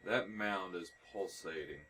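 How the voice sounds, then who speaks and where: Slow, low-voiced male game streamer. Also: bright, forceful tone, a little hiss or hum. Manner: terse, dry, muttered asides. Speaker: gameplay streamer. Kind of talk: offhand let's play commentary.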